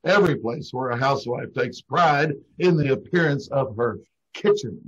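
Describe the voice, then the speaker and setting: A man's voice: medium pitch, animated, moderate pace, breathy edge. Man as swooping, pompous ham actor. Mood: brisk, grand. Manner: theatrical, grandiloquent declaiming. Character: pompous hammy announcer playing Shakespearean actor. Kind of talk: old-time radio comedy sponsor pitch. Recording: dull online call recording.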